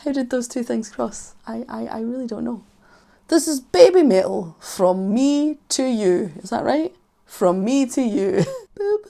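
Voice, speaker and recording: A woman's voice: a little high, sing-song, unhurried, gravelly, warm tone. A Scottish woman in her thirties, a vocal coach giving a casual music reaction with sarcastic, wry asides, while losing her voice. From Scotland.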